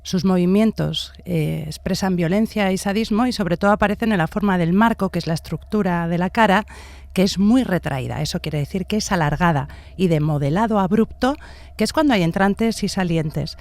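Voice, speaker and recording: A woman's voice: fairly low pitch, normal speed, a little mellow, dry recording. A woman from giving explanatory commentary as an expert guest. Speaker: expert guest, face-reading analyst.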